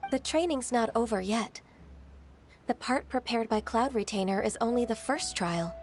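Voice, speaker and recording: A woman voicing a gentle, earnest young half-divine woman in a video game, speaking calmly and politely. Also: a little high, normal speed, faint music behind, natural voice. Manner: calm, polite, soft-spoken.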